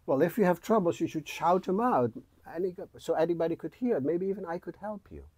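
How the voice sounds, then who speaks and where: A man's voice: high voice, expressive, a little fast, a little mellow, breathy edge, no echo, voice pushed up. A man voicing a tiny, bold helper who hides behind a rider and calls out urgently.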